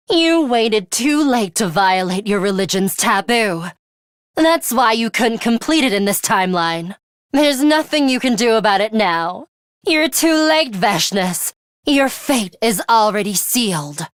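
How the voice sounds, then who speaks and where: A woman voicing a cool, guarded young female fighter in a video game, with a measured, composed and imperious way of speaking. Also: mid-range voice, no room sound, clean studio sound. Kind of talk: English video game voice acting, dramatic anime-style dialogue.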